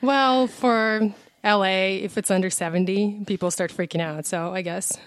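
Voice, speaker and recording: A woman's voice: medium pitch, fairly even intonation, normal speed, dry recording. A woman in her thirties, born and raised in Russia, chatting as a podcast guest and explaining things with examples. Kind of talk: casual podcast interview.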